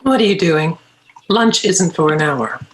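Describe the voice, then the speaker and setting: A woman's voice: lowish voice, measured pace, close to an everyday voice, poor audio. A woman playing an exasperated, protective mother in a screenplay table read. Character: exasperated, protective mom of three.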